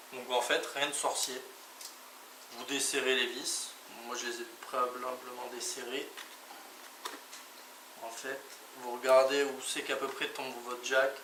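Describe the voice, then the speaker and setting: Guy doing a chill how-to, medium pitch, little interjections between steps, roomy echoey sound. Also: a bit bright. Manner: informal, talks through each step with little interjections. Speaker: motorcycle vlogger presenting gear. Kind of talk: casual hands-on product tutorial. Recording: echoey, distant mic, clearly noisy background.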